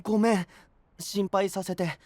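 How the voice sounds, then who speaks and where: 海の国を守る若い青年を演じる男性声優。柔らかく内省的で、真摯な話し方。. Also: male voice, high voice, fairly even intonation, measured pace, no room sound. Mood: pensive. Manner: soft, introspective, earnest. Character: gentle young male prince of a sea kingdom. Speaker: voice actor. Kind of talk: anime voice drama.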